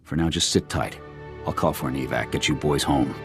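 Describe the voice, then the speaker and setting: An actor playing a blunt, loyal army sniper officer with clipped military speech in a video game. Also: male voice, a bass voice, fairly even intonation, brisk, loud music behind the voice. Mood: businesslike.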